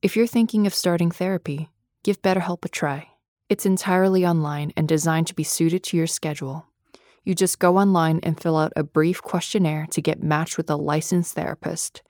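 A woman's voice: sits low, steady tone, normal speed, darkish tone, no echo. A woman reading a scripted podcast advertisement close to the mic. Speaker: advertisement reader.

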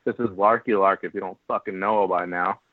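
A man's voice: sits low, fairly even intonation, a little fast, dry recording. A man co-hosting a podcast in casual, crude, joking chat. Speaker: podcast co-host. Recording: tinny phone-like sound.

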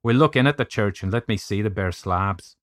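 A man voicing a brisk, blunt young Irish doctor in an audiobook, hearty and teasing with an Irish accent. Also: lowish voice, no echo, clean studio sound, natural voice. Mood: amused, brisk. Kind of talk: audiobook character voice.

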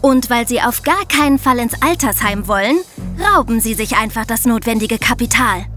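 A woman's voice: a little high, expressive, moderate pace, fairly bright tone, soft background music. A woman co-hosting a true-crime podcast, moving between narration and discussion. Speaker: podcast co-host.

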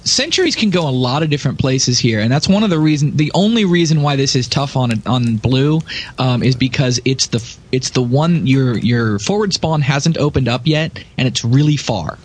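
A man's voice: mid-range voice, expressive, normal speed, breathy edge. A man in a casual gaming podcast discussion, explaining strategy in a detailed way. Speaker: podcast panelist.

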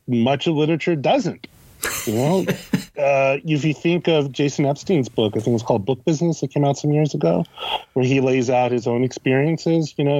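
A man's voice: medium pitch, mellow, no room sound, lo-fi sound. A man speaking as a podcast guest and critic, explaining in conversation and often posing questions as he goes. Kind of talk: conversational interview commentary.

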